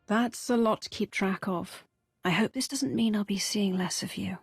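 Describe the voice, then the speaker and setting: An actress playing an assertive Imperial commander in a video game, direct, probing and expressive. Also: female voice, mid-range voice, moderate pace, husky, no echo, clean studio sound. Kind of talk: dramatic video game voice acting.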